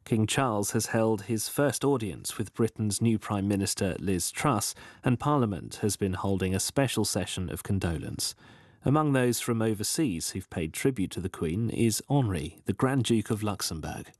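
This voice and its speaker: Male news presenter, slightly deep, reading a bulletin in a tight dry studio sound. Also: lively intonation, normal speed, dry recording.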